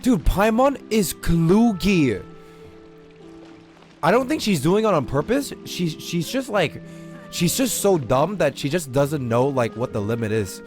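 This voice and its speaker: Animated, blunt male game streamer. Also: on the high side, a little slow. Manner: informal, swearing, blunt. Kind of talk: casual live game commentary. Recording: faint game music behind.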